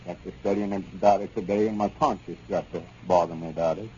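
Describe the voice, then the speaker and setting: An actor playing a stubborn, earnest small-town Southern businessman with a halting, folksy manner in 1930s radio comedy. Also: sits low, steady tone, dark tone, close to an everyday voice. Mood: contrite. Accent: rural Southern US. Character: proud, stubborn, conscientious small-town country businessman, a man. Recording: dull, noisy 1930s radio recording.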